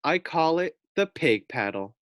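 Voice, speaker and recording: A small storybook guinea pig, voiced by a man, hopeful and dreamy. He speaks with simple, earnest wonder at an unhurried pace, recorded dry. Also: a little high, very animated, a bit bright, clear, resonant tone, no room sound, voice pushed up. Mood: proud. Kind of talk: storybook read-aloud for children.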